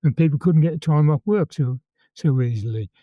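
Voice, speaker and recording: A man in his eighties with a Birmingham accent, calmly recalling old times as an interview guest and often repeating words as he tells his story. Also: on the high side, moderate pace, dry recording, lo-fi sound. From England. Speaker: interview guest, former conscript. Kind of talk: reminiscing in conversation.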